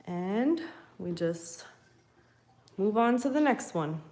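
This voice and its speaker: A woman's voice: medium pitch, lively intonation, darkish tone. A young woman hosting a live craft tutorial at home, getting over a cold and a little sniffly, chatting to viewers while she works. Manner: slow, chatty, talks to viewers while working. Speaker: craft channel host. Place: home craft table, indoors.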